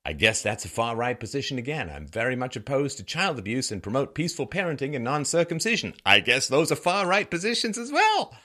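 A man's voice: medium pitch, sing-song, fairly quick, few pauses, sharp tone. A man from Ireland and England delivering an opinion monologue in a sarcastic, rhetorical manner with theatrical emphasis. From born in Ireland, grew up in England. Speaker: host of a political commentary show.

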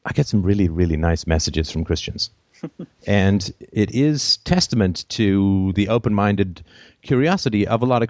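Low-voiced man hosting a call-in show. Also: a little mellow, no room sound, clean studio sound. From born in Ireland, raised in England and Canada. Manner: rambling, joking, quick asides. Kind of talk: casual call-in conversation.

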